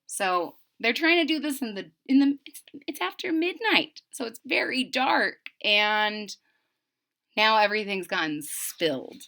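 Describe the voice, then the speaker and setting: Woman, children's read-aloud, bright voice, very lively intonation, deliberate, expressive. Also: fairly high pitch, creaky. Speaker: storyteller reading a picture book to children.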